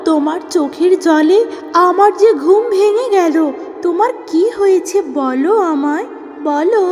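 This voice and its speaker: A kindly water fairy, voiced by a woman from a cartoon fairy tale. The voice is high, solemn and consoling, with gentle music behind it. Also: measured pace, in a large hall. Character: kindly female river water fairy granting wishes.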